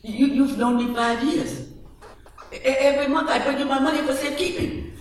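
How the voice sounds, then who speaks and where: A man's voice: sits high, expressive, moderate pace, a bit bright, voice pushed up. A man voicing a poor, desperate male street sweeper who stammers and pleads. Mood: pleading. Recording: echoey room from far off, faint music.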